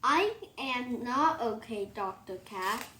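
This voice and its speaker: A young-sounding female reader giving an expressive, theatrical read-aloud of a children's picture book. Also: a little high, slow, echoey room, noisy background.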